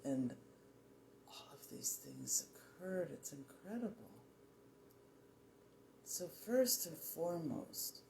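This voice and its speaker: Slow, gravelly meditation guide, sex ambiguous. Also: mid-range voice, warm tone. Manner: soothing, measured, with long pauses between short phrases. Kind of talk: guided meditation, spiritual affirmations. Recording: roomy echo, faint background noise.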